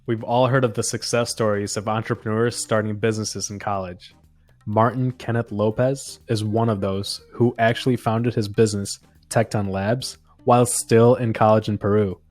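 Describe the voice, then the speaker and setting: A man's voice: on the low side, fairly even intonation, moderate pace, darkish tone, faint music behind. A man hosting an interview podcast, introducing his guest and asking questions. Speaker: podcast host.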